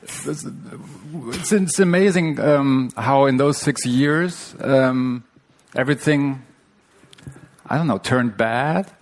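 Man, gravelly voice, halting with lots of ums, live stage podcast co-host. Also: mid-range voice. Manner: hesitant, many fillers and restarts. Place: stage before a live audience.

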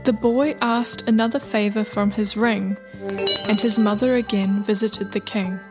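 A woman narrating a scripted folktale as a measured storyteller. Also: mid-range voice, fairly even intonation. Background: music.

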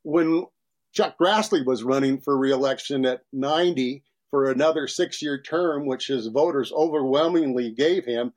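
A man in his late seventies with an American accent. He talks as an ordinary voter, giving opinions in an informal interview at a middle pitch for a man. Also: normal speed, a little mellow.

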